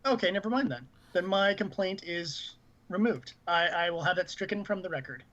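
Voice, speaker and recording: A man's voice: high voice, expressive, moderate pace, fairly bright tone, dull audio. A man chatting casually and at length as a gaming podcast co-host, full of opinions. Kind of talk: casual panel chat about video games.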